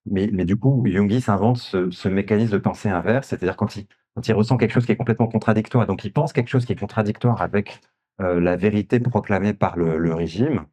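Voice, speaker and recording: A man's voice: fairly low pitch, brisk, dark tone, breathy edge. A man, an author at a literary festival talk, explaining a novel and asking questions with frequent hesitations and restarts. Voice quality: hesitant, many fillers and restarts. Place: festival conference room.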